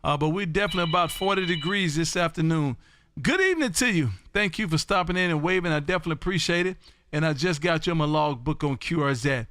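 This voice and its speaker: An American man calling out as an amateur radio live streamer, loud and showy, often repeating his phrases. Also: a little high, expressive, fast talker, no echo, studio quality. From Texas. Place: home radio room.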